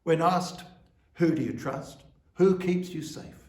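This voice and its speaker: A man giving a church sermon as officiant, speaking in measured, deliberate short phrases. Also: a little high, fairly even intonation, darkish tone. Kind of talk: sermon with scripture reading and prayer. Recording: roomy, echoing sound.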